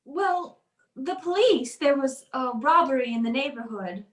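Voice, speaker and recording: A woman's voice: high-pitched, animated, a little slow, reverberant. A woman playing a frightened, pleading wife in a radio suspense drama, breathless and faltering. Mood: shaken, evasive. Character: frightened, pleading wife in fear for her life. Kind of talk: old-time radio suspense acting.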